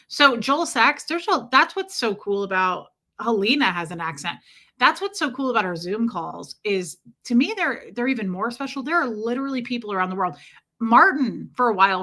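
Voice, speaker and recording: A woman hosting a casual livestream, chatting warmly and greeting viewers by name as she answers their comments. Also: fairly high pitch. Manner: chatty and warm toward viewers, many fillers. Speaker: livestream host.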